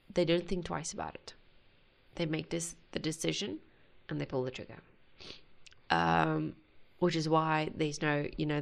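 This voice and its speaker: A woman hosting a music reaction video, talking earnestly and haltingly with many pauses. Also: low voice, vocal fry. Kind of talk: casual reaction commentary.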